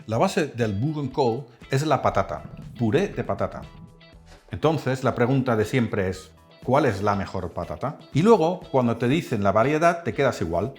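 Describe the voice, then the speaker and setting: A man from the Netherlands, hosting a cooking channel and calmly explaining a recipe step by step. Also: medium pitch, moderate pace, soft background music. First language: Dutch. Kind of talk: cooking tutorial voice-over.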